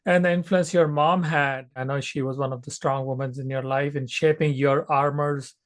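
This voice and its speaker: A man hosting a podcast, who asks questions in a measured way with many fillers. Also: medium pitch, steady tone. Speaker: podcast host. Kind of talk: podcast interviewing.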